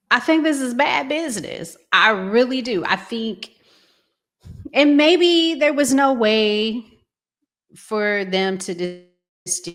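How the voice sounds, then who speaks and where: A middle-aged woman giving casual, unscripted commentary as a vlog host, thinking aloud in a halting, conversational way. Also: a little high, lively intonation, a bit bright.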